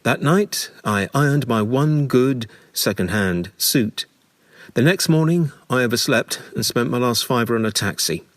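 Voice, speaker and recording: A man narrating a scripted memoir in a measured, dramatic storytelling manner with deliberate pauses. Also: mid-range voice, expressive, no room sound, studio quality.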